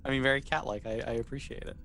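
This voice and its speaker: A man's voice: medium pitch, fairly even intonation, rapid-fire, fairly bright tone. A man running a tabletop role-playing game, narrating off the cuff in a conversational, rambling way. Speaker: game master.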